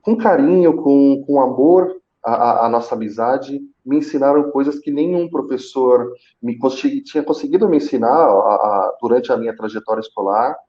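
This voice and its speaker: Male, Brazilian accent, interview guest. Mid-pitched, somewhat warm tone, halting conversational delivery, lo-fi sound. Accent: Brazilian Portuguese. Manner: halting, with repeated words and drawn-out pauses. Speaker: guest, call center senior manager. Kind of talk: conversational personal account in a live interview.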